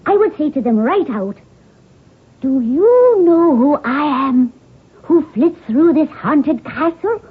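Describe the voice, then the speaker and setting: A woman's voice: high voice, lively intonation, slow. A woman playing a spirited, mischievous young Irish noblewoman in a theatrical, lilting radio drama style. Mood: playful, mischievous. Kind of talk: 1970s radio drama acting. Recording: tinny band-limited 1970s radio broadcast.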